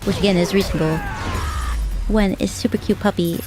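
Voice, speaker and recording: A young woman streaming a game, chatting softly and casually with viewers, often repeating words as she goes. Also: mid-range voice, steady tone, music in the background, low-quality recording. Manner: soft-spoken, halting, repeats words. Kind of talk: casual gaming stream chat.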